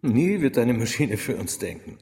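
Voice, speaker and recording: A reserved young genius in a radio play. His medium-pitched voice is terse and quietly stubborn, heard in a long hall reverb. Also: very animated, normal speed. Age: young adult. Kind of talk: German radio drama acting.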